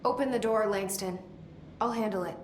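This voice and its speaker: An actress playing a determined, blunt young agency director in a video game, talking in a terse, direct way. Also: female voice, mid-range voice, normal speed, natural voice. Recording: echoey room, clear background noise.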